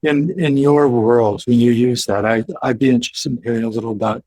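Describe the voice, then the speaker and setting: Older man, interviewer, slightly husky, careful and halting, dry close sound. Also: medium pitch, fairly even intonation, a little mellow, no room sound, poor audio. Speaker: guest interviewer. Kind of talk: interview questions in conversation. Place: home living room.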